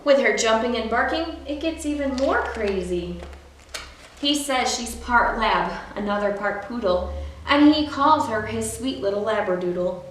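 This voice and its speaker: A woman reading a rhyming picture book aloud for young children in an expressive storyteller's delivery. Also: fairly high pitch, unhurried. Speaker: library storyteller. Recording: very echoey room, distant mic, faint noise.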